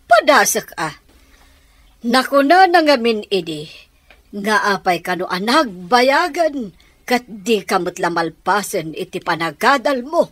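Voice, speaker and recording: A woman's voice: medium pitch, big pitch swings, measured pace, a bit bright, no echo. A woman playing a scheming, coaxing mother in a theatrical Ilocano radio drama. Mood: chiding. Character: scheming mother asking a man for money.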